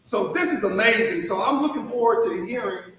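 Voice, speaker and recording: A man's voice: sits high, animated, fairly quick, pushed and bright, slight background noise. A man from Mississippi, hosting a panel interview in an upbeat, emphatic way. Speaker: podcast host. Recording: echoey room, mic at a distance.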